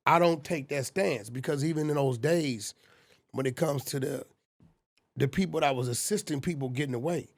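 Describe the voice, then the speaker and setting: A man in his forties telling street stories in casual, animated talk on a podcast. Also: medium pitch, moderate pace, gravelly. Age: 40s. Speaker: podcast guest. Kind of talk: casual storytelling.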